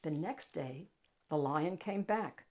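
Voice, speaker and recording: A woman reading a picture book aloud to children in an animated, expressive storytelling style with dramatic emphasis. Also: sits low, slow pace.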